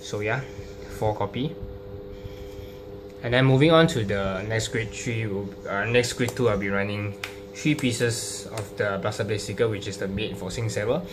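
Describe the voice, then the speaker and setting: A man casually presenting a card game deck, explaining it unscripted and card by card in a halting, hesitant way. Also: on the low side. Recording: clearly noisy home recording with hum.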